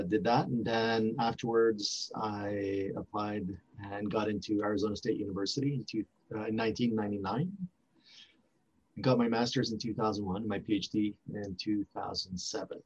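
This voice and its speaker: A man's voice: on the low side, a little mellow. A man in his forties whose first language is French, talking as an interview guest with many fillers and restarts. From Montreal, Canada. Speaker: archaeology professor, interview guest. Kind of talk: casual interview talk. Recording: dull lo-fi sound.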